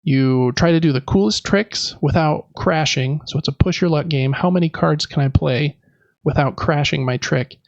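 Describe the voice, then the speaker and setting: A man with a fairly high voice and a gravelly fry hosts a casual games podcast. He talks in a chatty, explanatory way. The recording is dry and a little dull. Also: normal speed, no echo. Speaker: podcast host. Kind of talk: casual board game podcast chat.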